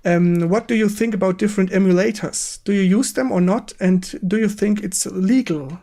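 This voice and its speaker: A man with a German accent hosting a casual livestream interview in halting non-native English, often repeating words and agreeing quickly. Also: high voice, gravelly, warm tone. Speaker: stream host interviewing a game developer. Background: music in the background.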